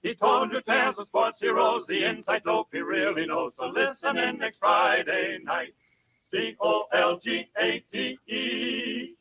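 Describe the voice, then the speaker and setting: A man's voice: high-pitched, unhurried, a loud crowd, poor audio. A man singing in the style of a 1940s radio show.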